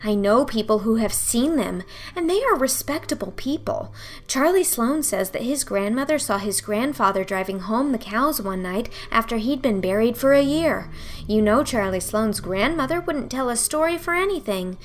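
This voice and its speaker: A woman's voice: fairly high pitch, lively intonation, moderate pace, close to an everyday voice. A woman playing an imaginative, eager and easily frightened young girl in a storybook reading, breathless and theatrical. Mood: eager, earnest.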